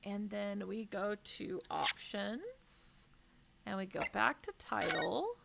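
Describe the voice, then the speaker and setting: A woman hosting a casual game livestream in a playful, expressive way, sounding a little sniffly. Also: mid-range voice, takes it slowly, vocal fry, very noisy. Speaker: game streamer and host. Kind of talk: casual livestream chat while playing a game.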